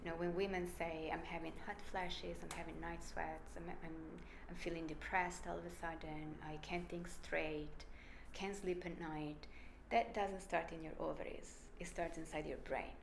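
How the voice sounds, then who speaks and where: Gravelly Italian woman explaining research. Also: on the low side, level tone, moderate pace, echoey room, noisy background. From Italy. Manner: explanatory, emphatic. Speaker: brain researcher guest. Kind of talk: conversational interview.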